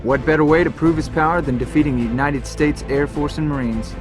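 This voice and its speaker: An actor playing a determined young fighter pilot hero in a video game, earnest and resolute, recorded dry over music. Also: male voice, a little high, steady tone, brisk, natural voice, rough recording. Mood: urgent, persuasive. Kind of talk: video game cutscene acting and dramatic monologue.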